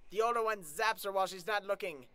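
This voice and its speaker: A man's voice: high-pitched, fast, sharp tone, clear, resonant tone, no room sound. A man voicing a chatty, easily flustered young pony in a casual cartoon parody, with a rambling, awkward delivery. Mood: flippant, rambling.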